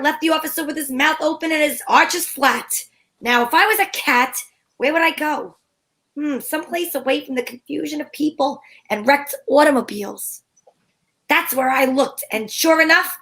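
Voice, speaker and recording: Female gumshoe actress, high voice, cheeky and wisecracking, slangy noir delivery for vintage-style radio detective drama. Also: normal speed, bright, forceful tone. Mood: wry narrating. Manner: wry, slangy hardboiled delivery. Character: wisecracking female private eye, cheeky and flirtatious, narrating her case.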